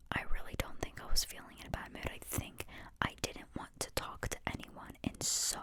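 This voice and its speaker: A woman talks in a soft, breathy whisper with almost no voice behind it. She rambles reflectively in an ASMR style, earnest and informal, often questioning herself as she explains. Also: moderate pace. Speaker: host sharing reflections on a psychology book.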